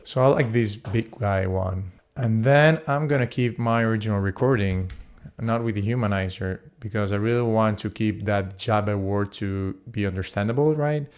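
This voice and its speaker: Clear-voiced man with a low pitch. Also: normal speed, darkish tone. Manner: playful, informal, thinking aloud while working. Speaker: sound designer demonstrating a voice plugin. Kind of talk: casual tutorial chat.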